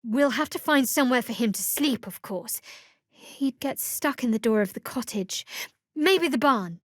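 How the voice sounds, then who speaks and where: Fantasy game cleric: young female adventurer, guarded and devout, dry wit, measured delivery, lightly high register, clean dry studio sound. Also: animated, no room sound. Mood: soft, fond. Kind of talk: fantasy role-playing game voice acting.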